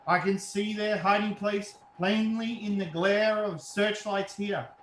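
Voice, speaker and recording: Echoey room, high male voice, man acting as confident army captain, field report, stumbles over words, radio play. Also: steady tone, a little slow, fairly bright tone. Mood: confident, official. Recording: echoey room with background noise.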